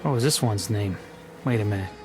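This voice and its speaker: An actor playing an inquisitive, wry police officer in a radio drama, speaking conversationally. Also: male voice, lowish voice, brisk, music in the background, natural voice. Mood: curious, wry.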